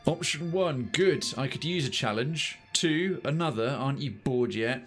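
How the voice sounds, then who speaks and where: A man's voice: medium pitch, lively intonation, moderate pace, fairly bright tone. A man doing casual let's play commentary and chatting to viewers in a wry, rambling way. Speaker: game streamer. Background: faint game music.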